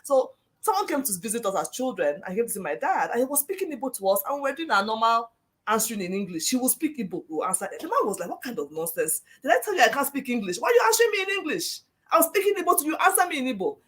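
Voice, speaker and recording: Fast-talking Nigerian woman telling stories. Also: fairly high pitch. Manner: animated, quick storyteller who laughs. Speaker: parent in a discussion. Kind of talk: casual storytelling.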